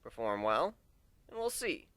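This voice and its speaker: Man reading as a stern griffin instructor, lively and bright. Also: medium pitch, unhurried, clean tone, no room sound. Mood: commanding. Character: brisk, stern male griffin warrior and combat instructor. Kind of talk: first-person dramatic story reading.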